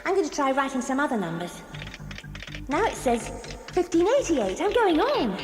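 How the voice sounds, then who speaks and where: A woman's voice: high-pitched, big pitch swings, moderate pace, faint music behind. A female performer playing a sceptical, anxious young girl in a children's audio drama. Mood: brisk, grudging. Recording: noisy old cassette tape.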